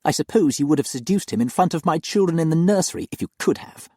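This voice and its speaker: A man's voice: a little high, lively intonation, fast talker, mellow, no room sound, clean studio sound, pitched above the performer's own voice. A man voicing a spiteful, jealous lady of the house, speaking with contempt.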